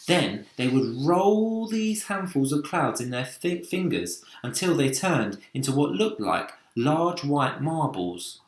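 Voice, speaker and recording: A man reading a children's story aloud as narration, deliberate and dramatic in delivery. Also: fairly high pitch, sing-song. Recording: echoey room.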